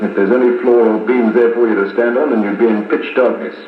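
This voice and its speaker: An actor playing a blunt, cheeky but loyal soldier in a 1950s radio serial. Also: male voice, medium pitch, fairly even intonation, quick pace, faint music behind, lightly acted. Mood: grim, matter-of-fact. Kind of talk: 1950s radio drama acting. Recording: tinny, echoey, noisy 1950s wire recording.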